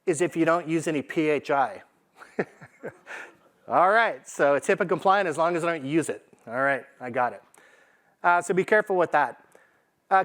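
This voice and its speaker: A man's voice: fairly high pitch, a bit bright. A man giving an informal business presentation to a live audience in a conversational, emphatic way, often checking in with rhetorical questions. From Canada. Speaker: conference speaker, AI consultant.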